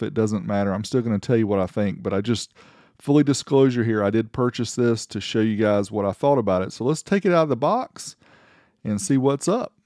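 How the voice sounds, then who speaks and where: Brief: middle-aged male reviewer, casual and chatty, dry close sound, mid pitch. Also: a little mellow, dry recording. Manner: chatty, explains specs step by step. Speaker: car audio reviewer. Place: outdoors on a driveway, later inside a vehicle.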